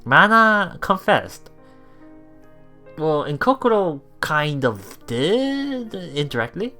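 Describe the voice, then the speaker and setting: Excitable lady streaming a visual novel, reads n reacts, pitch swings a lot, bright voice, giggly. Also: slow, faint music behind. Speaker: let's play streamer.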